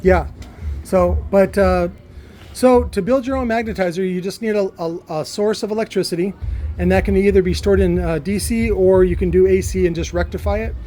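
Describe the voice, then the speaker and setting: A man with a high, mellow voice talks a listener through technical details in an informal way. His delivery is halting, with words repeated mid-sentence. Faint music plays behind him. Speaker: electronics builder explaining a device.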